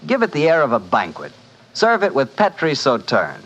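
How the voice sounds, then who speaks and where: A man's voice: mid-range voice, wildly swooping pitch, normal speed, fairly bright tone. An American man working as a 1940s radio announcer and host, reading a sponsor spot in a chatty, emphatic, salesmanlike way. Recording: dull 1940s radio recording.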